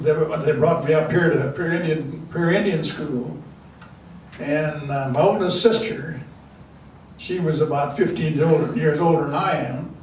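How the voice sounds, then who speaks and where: An older man from South Dakota giving a folksy storytelling talk into a handheld mic in a large meeting room, halting and restarting often. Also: on the high side, far from the mic. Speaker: guest speaker. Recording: echoey room, mic from afar, faint hiss.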